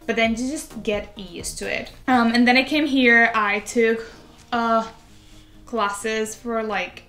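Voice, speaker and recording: A woman in her twenties with a Venezuelan Spanish accent rambles casually to camera, filling her talk with "like". Her voice is bright and clear. The room echoes a little, with faint music underneath. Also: a little high, steady tone, slow. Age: 20s. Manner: chatty, rambling, heavy use of "like". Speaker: vlogger.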